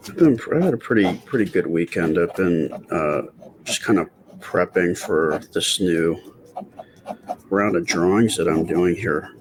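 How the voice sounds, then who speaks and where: A middle-aged man talking softly and casually in ASMR style as he draws, with a quiet, hesitant, rambling delivery. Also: low voice, unhurried, slight background noise. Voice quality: quiet, soft-spoken voice. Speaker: artist and channel host. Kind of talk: casual ASMR storytime while drawing.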